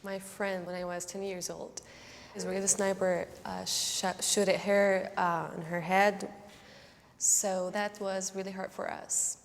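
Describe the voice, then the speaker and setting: A woman's voice: medium pitch, little pitch movement, measured pace, gravelly, slight background noise. A woman in her twenties with a Palestinian accent giving personal statements in a filmed discussion. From Nablus, West Bank. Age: 20s. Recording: hall echo, faint music.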